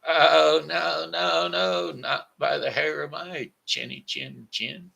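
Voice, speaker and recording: Guy doing a squeaky panicky little piggy, high and jumpy, bright edge. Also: steady tone, slow pace, gravelly, slightly rough, full character voice. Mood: frightened. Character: scared squealing little pig.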